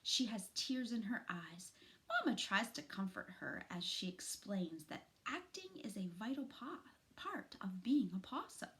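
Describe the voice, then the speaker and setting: A woman in middle age reads a children's story aloud. Her delivery is animated and unhurried, with a bright edge to the voice. It is recorded in a small room at home. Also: mid-range voice. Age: middle-aged. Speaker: children's story reader, teacher.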